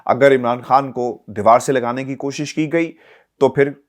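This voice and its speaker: A man's voice: medium pitch, steady tone, normal speed. A man with a Pakistani accent gives political news commentary as a journalist, speaking emphatically and posing rhetorical questions to viewers.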